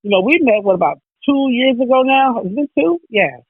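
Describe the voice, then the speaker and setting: A woman's voice: fairly high pitch, animated, a little fast, slightly rough, no echo. A woman hosting a radio interview, chatty and full of "you know". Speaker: radio host. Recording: tinny phone line.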